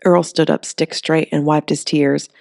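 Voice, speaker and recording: A woman narrating a comedic creature story in an even, steady reading voice. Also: low voice, a little slow, creaky, no echo, clean studio sound. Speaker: audiobook narrator.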